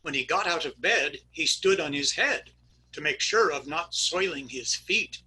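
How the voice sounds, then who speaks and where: A man's voice: on the high side, animated, measured pace, pushed and bright, husky, low-quality recording. A man reading jokes aloud for a community theater over a video call.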